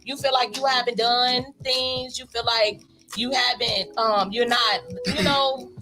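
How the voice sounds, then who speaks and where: A woman in her thirties on a casual panel chat, reflective and quick to laugh. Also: fairly high pitch, fairly even intonation, sharp tone, faint music behind. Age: 30s. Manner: reflective, laughs often, faith-minded. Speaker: co-host.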